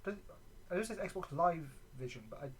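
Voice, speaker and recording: A gaming video creator with a medium-pitched voice talks in a casual, off-the-cuff way. The delivery is halting and conversational, as in a show-and-tell vlog. There is some noise behind the voice. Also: animated. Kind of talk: casual vlog chat showing items. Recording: clearly noisy background.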